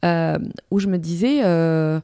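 Low-voiced Moroccan-born woman journalist. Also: takes it slowly, clean tone, dry recording, studio quality. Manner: lively, restarts often, many fillers. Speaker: journalist and TV news presenter. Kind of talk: interview, recounting her career.

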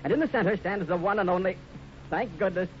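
A man's voice: high voice, quick pace, slight background noise. A man with an American accent giving scripted narration as announcer of a 1950s radio western. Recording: muffled old radio recording. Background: music.

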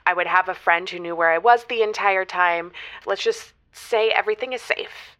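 Young female caller played by a woman, twenties, mid-pitched, hesitant and rambling, conversational radio drama phone-in. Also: moderate pace, bright, forceful tone, close to an everyday voice. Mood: hesitant, uneasy. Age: 20s. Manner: hesitant, rambling, self-correcting. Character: Amelia, hesitant young female graduate phoning for advice. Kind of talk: semi-improvised audio drama, conversational phone-in.

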